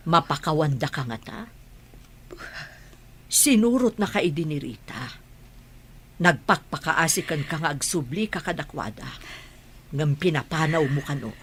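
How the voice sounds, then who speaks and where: A woman's voice: deep voice, lively intonation, measured pace, pauses often, fairly bright tone, husky, heavily acted. A woman playing a frank older aunt in a radio drama, admonishing in a concerned way. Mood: reproachful.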